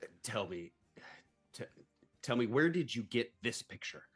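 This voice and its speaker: A man playing a curt, flustered businessman father in a live comedy table read, halting and impatient. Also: medium pitch, sing-song, fairly bright tone, slightly rough, soft background music, exaggerated voice. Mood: exasperated, suspicious.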